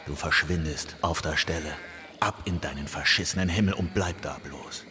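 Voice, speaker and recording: A man's voice: normal speed, pushed and bright. A man playing a furious, foul-mouthed, commanding boss of hell in a German radio play. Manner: menacing, cursing, commanding. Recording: noisy, long booming reverb.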